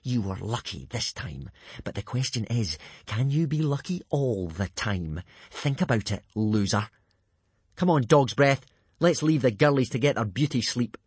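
A man's voice: mid-range voice, expressive, measured pace, darkish tone, husky, no echo. Man acting a sneering, drawling teenage Viking bully. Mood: menacing. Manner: drawling, mocking, self-satisfied. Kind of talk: children's audiobook character acting.

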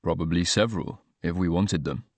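A man voicing a fastidious, reserved man with precise, measured speech and an anxious streak. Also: sits low, a little mellow, dry recording, voice pushed down. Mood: hesitant, defensive.

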